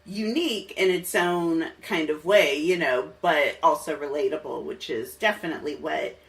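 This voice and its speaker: A woman's voice: low-pitched, normal speed, recorded from a distance. A woman hosting a podcast, chatting casually and enthusiastically with a guest. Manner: enthusiastic, laughs often. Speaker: podcast host. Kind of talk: casual interview chat.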